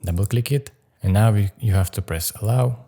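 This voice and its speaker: Man, low pitch, dark relaxed tone, step-by-step tutorial narration. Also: fairly quick. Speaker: tutorial host.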